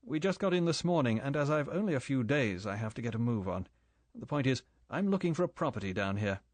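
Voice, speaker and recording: A man voicing a confident English agent who talks in a brisk, matter-of-fact way. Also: mid-range voice, expressive, no room sound, natural voice.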